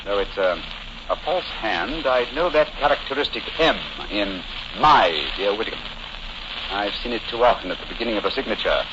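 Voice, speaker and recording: An actor playing a shrewd, amused gentleman detective with an English accent, urbane and clipped in a 1940s radio drama. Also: lowish voice, animated, moderate pace, natural voice. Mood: brisk, intent. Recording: noisy, dull old 1940s radio recording.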